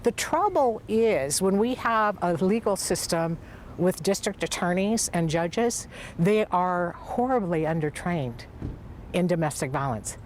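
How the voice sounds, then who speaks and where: A woman's voice: on the low side, lively intonation, unhurried, slight background noise. A woman speaking in a news interview, explaining emphatically and with indignation.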